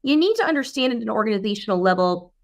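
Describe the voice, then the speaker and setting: A woman's voice: a little high, sing-song, rapid-fire. A woman speaking as an interview guest and brand strategist, explaining in an emphatic, coaching way with rhetorical questions. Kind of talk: explanatory business interview talk.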